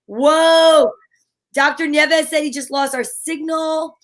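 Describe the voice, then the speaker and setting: A woman's voice: high-pitched, fairly even intonation, takes it slowly. A woman co-hosting a casual comedy live stream, chatty and exuberant as she banters and greets viewers.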